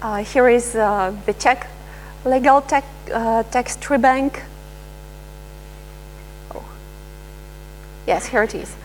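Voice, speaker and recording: A woman researcher giving a live software demonstration, pausing often with fillers and restarting her sentences. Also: a little high, slight background noise, poor audio. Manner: hesitant, many filler sounds and restarts. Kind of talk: live software demo talk.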